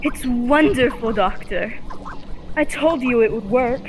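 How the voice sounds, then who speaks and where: A woman's voice: on the high side, a little slow, lightly acted. A young woman playing an eager, inquisitive young soldier heroine in an old-time radio serial. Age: young adult. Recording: echoey hall with background noise.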